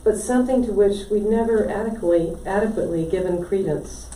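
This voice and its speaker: A woman's voice: medium pitch, fairly quick, warm tone. A woman reading a poem aloud at a live poetry reading. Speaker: poetry reader. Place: indoor library poetry reading. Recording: echoey room, distant mic, faint noise.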